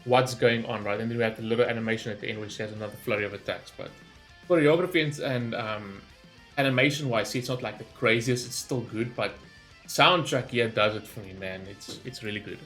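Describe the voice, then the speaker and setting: A man gives casual reaction commentary for a gaming channel. He rambles and thinks aloud in an informal way, with a mid-pitched voice over faint music. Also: brisk, fairly bright tone.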